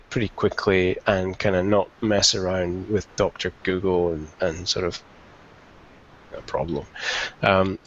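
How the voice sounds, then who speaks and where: Gravelly man presenting a webinar. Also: low-pitched. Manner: chatty and informal, many ums and restarts. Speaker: veterinarian presenter. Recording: dull lo-fi webinar audio.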